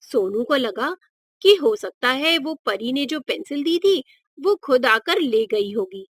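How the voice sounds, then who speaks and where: A woman's voice: sits high, animated, takes it slowly, fairly bright tone, no room sound, studio quality. A woman narrating a scripted moral story for children as a storyteller.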